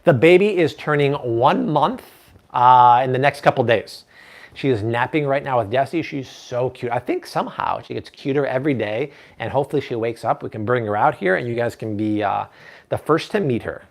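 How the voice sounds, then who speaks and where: A man's voice: medium pitch, expressive, moderate pace, vocal fry. A man in his thirties hosting a live cooking stream from a home kitchen, chatting with viewers and reading their comments. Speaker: cooking show host.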